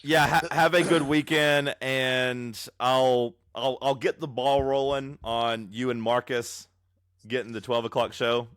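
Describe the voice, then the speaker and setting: Loud, teasing man on a podcast. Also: medium pitch, flat delivery, a little slow, clean tone, no echo, studio quality. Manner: loud, teasing, jumps in with bits. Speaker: podcast co-host. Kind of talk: casual banter. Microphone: own microphone, recorded remotely in an app.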